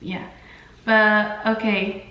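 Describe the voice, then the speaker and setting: A woman's voice: medium pitch, little pitch movement, drawn-out words, echoey room, muffled recording. A young woman with a Brazilian accent, a native Portuguese speaker, chatting casually to camera in an informal, candid, slangy way as a beauty vlogger. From São Paulo, Brazil. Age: young adult. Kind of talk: casual chat to camera while doing makeup.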